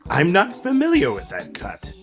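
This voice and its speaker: A man's voice: fairly high pitch, huge pitch swings, normal speed, fairly bright tone, faint music behind, heavily acted. A man voicing a kindly, befuddled older tinkerer in a cartoon comic dub, with a mild, polite, puzzled way of talking. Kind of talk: comic book fan dub cartoon acting.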